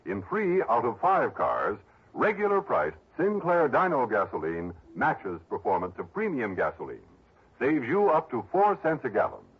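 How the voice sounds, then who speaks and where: Lively vintage radio announcer man. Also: medium pitch, normal speed, slightly rough. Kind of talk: 1960s radio announcing, scripted. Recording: dull vintage radio broadcast recording.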